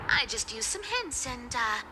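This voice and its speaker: A woman's voice: fairly high pitch, big pitch swings, unhurried, heavy background noise. A woman playing a playful, teasing young trickster demigod and treasure hunter in a video game. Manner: teasing, breezy, giggly. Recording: music under the voice. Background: game music.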